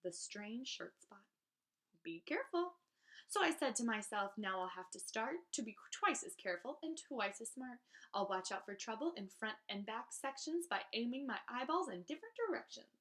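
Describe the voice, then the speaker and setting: A woman with a high voice reads children's verse aloud in an expressive, theatrical style. Her pitch swings widely as she leans on key words, like a host reading to young listeners. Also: moderate pace. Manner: animated, theatrical, emphatic delivery for children.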